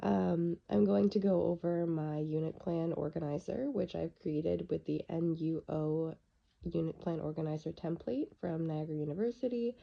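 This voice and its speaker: A young woman who is losing her voice, with a raspy tone, reading through her unit plan for a class presentation. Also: low-pitched, moderate pace. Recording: dull lo-fi recording.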